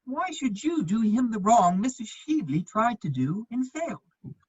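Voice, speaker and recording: Persuasive young-hearted dandy, acted by a man, husky, swooping stage delivery, dry and slightly dull recording. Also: high-pitched, very animated, moderate pace, dry recording, heavily acted. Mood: urgent, pleading. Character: witty, loyal, persuasive gentleman bachelor, a son. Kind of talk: theatrical dramatic reading of a period comedy.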